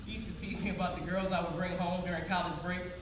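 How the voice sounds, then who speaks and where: A woman's voice: lowish voice, level tone, quick pace, lightly acted. A woman playing a wounded yet faithful grieving woman in an emotional, colloquial theatrical monologue. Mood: fond, tender. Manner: emotional, colloquial, emphatic, pleading. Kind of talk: theatrical one-act stage monologue. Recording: roomy echo, distant mic, loud noise.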